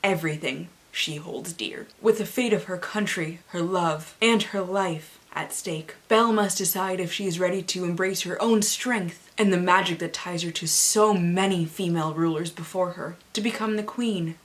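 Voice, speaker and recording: A woman presenting a book haul vlog, excitable and theatrical, with dramatic emphasis as she reads. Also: lowish voice, normal speed, few pauses. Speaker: book reviewer and vlogger.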